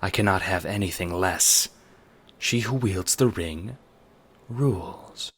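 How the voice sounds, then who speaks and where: A man voicing a stern, commanding queen in low, clipped, husky tones, in a fan audio drama. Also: takes it slowly, fairly bright tone, full character voice.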